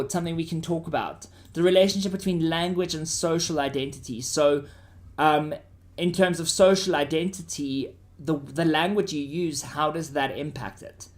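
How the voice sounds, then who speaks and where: South african guy teacher, voice a bit on the high side, chatty lesson style, keeps checking you get it. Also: fairly even intonation, normal speed. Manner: informal, checks listeners' understanding. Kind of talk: conversational lesson to students.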